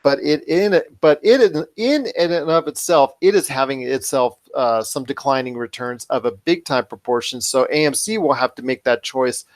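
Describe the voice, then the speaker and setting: Male podcast host, average pitch, talky and emphatic, piling up points in an unscripted discussion. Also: moderate pace, few pauses. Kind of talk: conversational commentary.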